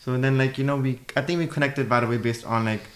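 A man's voice: medium pitch, steady tone, quick pace, a bit bright, roomy sound. A man in his thirties who grew up in Germany, a chatty podcast guest who says "like" a lot. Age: 30s. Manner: chatty, uses "like" often. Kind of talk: casual interview.

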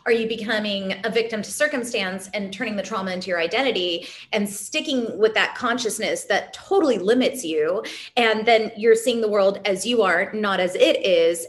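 A woman from California speaking candidly and reflectively as a guest in a casual interview, explaining her ideas as a spiritual teacher. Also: medium pitch. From California, United States, immigrated at four.

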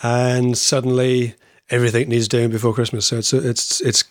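A man's voice: mid-range voice, flat delivery, normal speed, dry recording, clean studio sound. A man co-hosting a podcast in friendly casual chat, hesitant with lots of uh sounds and restarts, on a video call. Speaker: podcast co-host.

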